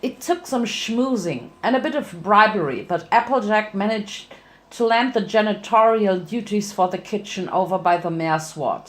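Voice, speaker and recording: A woman's voice: medium pitch, expressive, normal speed. A woman narrating fan fiction as a dramatic reading, occasionally stumbling and correcting herself.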